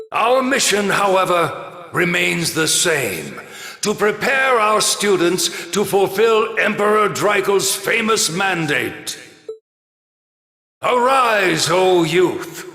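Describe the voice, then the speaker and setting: An actor voicing an elderly, dignified military academy principal giving a formal, measured ceremonial speech in a video game. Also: male voice, high voice, creaky, pushed and bright, exaggerated voice. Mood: rousing. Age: older. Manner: stately, measured, oratorical. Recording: echoey hall with long reverb.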